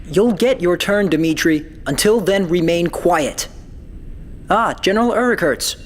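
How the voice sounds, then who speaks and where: An actor playing a formal, controlled council leader with an authoritative manner in a science fiction audio drama. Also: male voice, sits high, expressive, measured pace. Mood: stern, formal.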